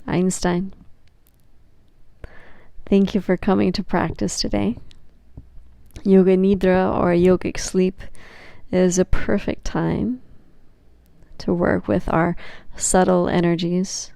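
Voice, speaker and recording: A woman leading a guided meditation as a yoga nidra teacher, speaking gently and soothingly with long, unhurried pauses. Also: lowish voice, level tone, gravelly, dry recording.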